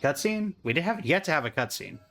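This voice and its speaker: A man's voice: fairly high pitch, very animated, fast talker, vocal fry, a bit bright. A man giving casual, playful, joking reaction commentary as he streams a video game. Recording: game music behind. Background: game music.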